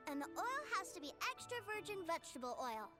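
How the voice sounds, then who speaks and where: A tiny, excitable fairy girl chirps in a very high, bouncy voice and calls herself by name. Game music plays behind her. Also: quick pace. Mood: chirpy. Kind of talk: video game voice acting.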